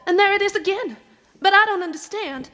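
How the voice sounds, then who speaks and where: An actress playing a major's young daughter at a frontier fort, anxious and spirited, asking urgent questions. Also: female voice, very high-pitched, a little fast, bright, forceful tone.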